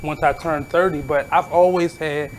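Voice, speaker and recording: High-voiced man hosting a radio show. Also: steady tone, moderate pace, slight background noise, low-quality recording. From Baltimore, USA. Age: 30s. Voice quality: naturally high voice. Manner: candid, blunt, reflective. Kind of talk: casual monologue.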